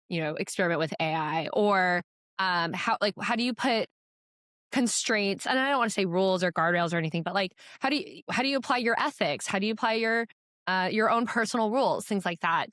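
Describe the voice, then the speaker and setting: A woman's voice: fairly low pitch, fairly bright tone, no room sound, studio quality. A woman co-hosting a podcast, asking long, chatty questions full of fillers. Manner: chatty, with quick interjections and fillers. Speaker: podcast co-host. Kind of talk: casual interview talk.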